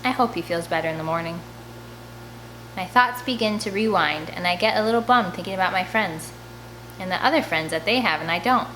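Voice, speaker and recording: A woman's voice: fairly low pitch, brisk, fairly bright tone, a little hiss or hum, poor audio. A woman reading a first-person children's novel aloud as an audiobook, telling the story expressively. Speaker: audiobook reader.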